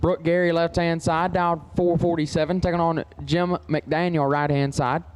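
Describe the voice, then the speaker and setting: Higher-voiced man calling drag races. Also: little pitch movement, normal speed, clear, resonant tone. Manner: terse, clipped number-heavy delivery. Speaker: drag racing track announcer. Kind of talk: race commentary with clipped results calls.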